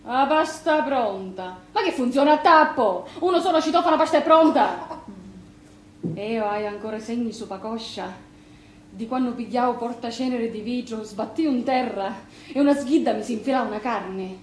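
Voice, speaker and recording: A blunt Sicilian sister acted by a woman speaks in a high, expressive voice with a scolding edge. It is theatre in Sicilian dialect, heard in a reverberant space with a little background noise. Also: normal speed, natural voice. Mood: reproachful.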